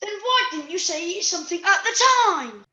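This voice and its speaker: Woman playing bright, high, impatient cuddly toy. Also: animated, moderate pace, full character voice. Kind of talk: scripted puppet comedy dialogue.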